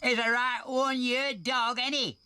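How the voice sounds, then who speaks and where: A man's voice: very high-pitched, level tone, a little slow, pushed and bright, clear, resonant tone, no room sound, voice pushed up, exaggerated voice. A man voicing a hearty old male elephant with a Northern English accent who sniffs and speaks bluntly, over background music. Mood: hearty folksy. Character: cheerful elderly male elephant, ex-coal-mine worker.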